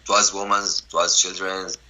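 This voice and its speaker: A man in his twenties from Syria with an Arabic accent, telling his story in halting, broken English full of restarts. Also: low-pitched, flat delivery, bright, forceful tone, low-quality recording. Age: 20s. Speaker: interviewee. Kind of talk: personal story, casual.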